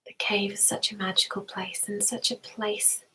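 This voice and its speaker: A woman softly guiding a meditation in an ASMR style, mostly in a breathy whisper, soothing and gentle, often repeating words. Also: mid-range voice, flat delivery, moderate pace, poor audio. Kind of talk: ASMR guided meditation roleplay.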